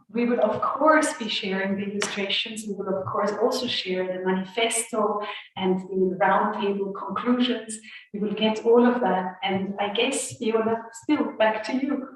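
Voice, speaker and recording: A woman co-hosts a ceremony over a video call, talking with rambling enthusiasm. She sounds far from the mic in a very echoey room, with faint noise and clicks underneath. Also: medium pitch. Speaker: co-host.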